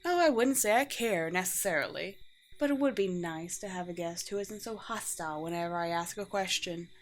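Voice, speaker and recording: A woman playing a courteous, teasing, world-weary vampire queen in a roleplay audio drama, with formal, old-fashioned and gently wry phrasing. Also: lowish voice, a little fast, natural voice. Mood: composed, matter-of-fact. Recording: clear background noise with ambience.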